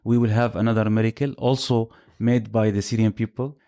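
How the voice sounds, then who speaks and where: A man hosting an interview, asking deliberate questions and thanking his guest in a formal, courteous way. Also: on the low side, little pitch movement, warm tone. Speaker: podcast host.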